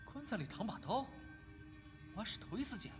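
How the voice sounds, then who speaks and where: An actor playing a playful, excitable young male cultivator in a Chinese animated drama. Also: sits high, brisk, music in the background. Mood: puzzled.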